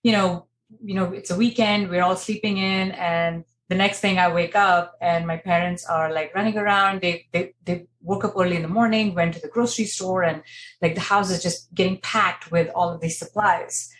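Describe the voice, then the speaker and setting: Woman, 30s, from Kuwait, podcast guest, lower voice, chatty with fillers, faint noise. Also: steady tone, moderate pace, poor audio. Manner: conversational, many "you know" fillers and restarts. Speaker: interior designer, guest. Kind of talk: podcast interview storytelling.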